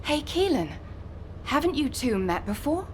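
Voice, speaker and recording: A woman voicing a cheerful, talkative young female soldier in a video game, teasing and expressive. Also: high voice, unhurried, a little hiss or hum. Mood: upbeat, chatty. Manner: chatty, playfully exasperated.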